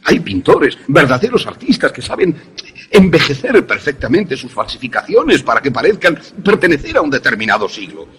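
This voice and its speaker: An actor playing a courteous, eloquent older professor and inventor in a suspense radio play, speaking formally and theatrically with small hesitant repetitions. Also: male voice, medium pitch, big pitch swings, moderate pace, a bit bright, husky, full character voice. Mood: grandiose. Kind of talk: Spanish-language suspense radio drama acting.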